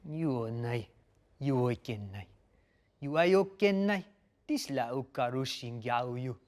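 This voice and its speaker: Hairy giant forest creature, male actor, drama table read. Unpredictable temper, broken pidgin muttering, highly animated pitch. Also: a little slow, close to an everyday voice. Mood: grudging. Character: huge wiry-haired forest beast, volatile, muttering, childlike, suddenly jovial.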